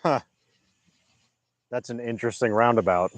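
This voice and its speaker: A man co-hosting a casual livestream, making measured, dry remarks. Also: mid-range voice, no echo. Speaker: co-host.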